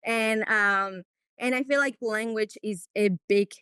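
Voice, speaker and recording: A woman's voice: fairly high pitch, unhurried, bright, forceful tone, clear, resonant tone, no echo, studio quality. A woman from Mexico with a Mexican accent and Spanish as her first language, answering interview questions conversationally as a veterinarian. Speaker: veterinarian, podcast guest.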